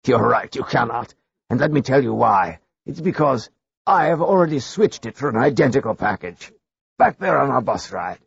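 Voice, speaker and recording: A man voicing a big, calm, menacing thief in a story reading, slow and threatening. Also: medium pitch, creaky, slightly rough, no echo, pitched above the performer's own voice, exaggerated voice. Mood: stunned. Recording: dull lo-fi dry recording.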